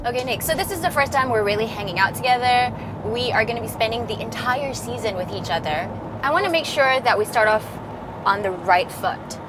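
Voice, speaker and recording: A young woman co-hosting a casual interview, chatty and quick to laugh, recorded outdoors on a city street and in a car. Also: on the high side, expressive, over music. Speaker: co-host. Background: traffic and street noise.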